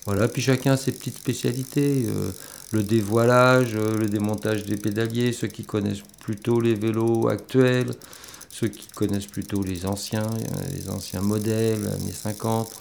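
Low, creaky-voiced man chatting over music. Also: flat delivery, moderate pace, a little mellow, a little hiss or hum. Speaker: volunteer bicycle mechanic at a community repair workshop. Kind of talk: informal spoken account in an audio documentary.

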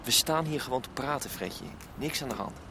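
Actor as casual, persistent young-rebel type, medium pitch. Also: male voice, expressive, brisk, noisy background, close to an everyday voice. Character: laid-back, stubborn, eager male squatter activist. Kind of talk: naturalistic radio drama dialogue.

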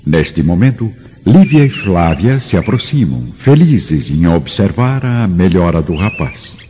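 A man's voice: sits low, very animated, measured pace, warm tone, slight background noise. A man with a Brazilian accent giving dramatic scripted narration for a radio drama. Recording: muffled radio production with music.

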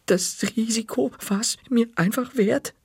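A man's voice: unhurried, vocal fry, darkish tone, slightly rough, no room sound, full character voice. A man voicing a frightened young schoolboy who stammers with a trembling voice. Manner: stammering, high-pitched.

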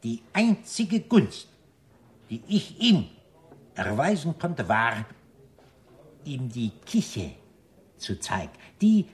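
An actor playing a self-important restaurant owner in a radio drama, florid and ceremonious, with an unctuous, sinister charm. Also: male voice, mid-range voice, wildly swooping pitch, slow, long pauses. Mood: mock solemn, sinister. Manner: florid, ceremonious, repeats polite phrases. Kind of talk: German radio drama acting.

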